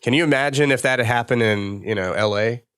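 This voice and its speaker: A man's voice: medium pitch, brisk, fairly bright tone, clear, resonant tone, no room sound. A man with a Texan accent and a dry-sounding voice, chatting as a comedian guest on a podcast, rambling and laughing as he tells stories. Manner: loose, rambling, laughing storyteller. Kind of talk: casual podcast chat.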